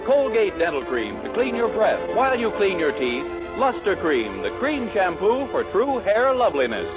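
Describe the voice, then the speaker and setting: Male radio announcer, 1940s style, high-pitched, polished sales delivery, dull old broadcast recording. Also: huge pitch swings, moderate pace, loud music behind the voice. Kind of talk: 1940s radio announcing, scripted sponsor reads.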